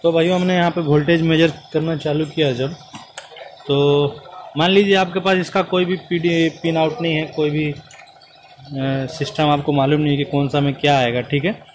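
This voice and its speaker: A man's voice: fairly high pitch, level tone, normal speed, a little hiss or hum, low-quality recording. A young man, a car mechanic, explains a repair step by step while he works on an engine, filmed close on a phone. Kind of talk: hands-on repair tutorial. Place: inside a car and at the open engine bay, outdoors.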